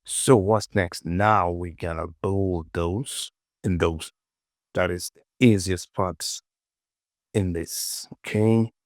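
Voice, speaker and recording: A man with a gravelly voice casually narrating a software tutorial, muttering short, repeated phrases to himself as he works. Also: deep voice, steady tone, slow, dry recording, studio quality. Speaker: tutorial host.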